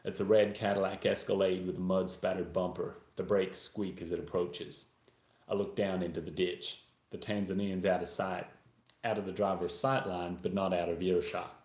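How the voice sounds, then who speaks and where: A man's voice: low voice, flat delivery, moderate pace, a little mellow, natural voice. A man voicing a tense, hard-boiled Texan narrator of a crime thriller, with an attempted Texas accent. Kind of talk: first-person audiobook-style thriller reading. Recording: roomy echo, live video stream.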